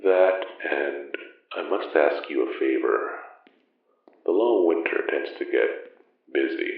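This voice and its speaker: An extremely low, gravelly voice belongs to an ancient, secretive man of magic. He speaks slowly and solemnly in formal, old-fashioned phrasing, as a mentor in an audio drama, heard muffled and echoing. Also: heavily acted. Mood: grave, measured. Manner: formal, archaic, measured phrasing. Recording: muffled narrow band, echoey, distant.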